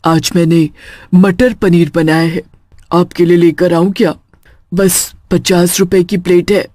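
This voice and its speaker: A woman's voice: on the low side, flat delivery, a little slow, dark tone, dry recording, clean studio sound, lightly acted. A woman voicing a lonely female witch who runs a small food stall, coaxing yet menacing, in a cartoon horror story.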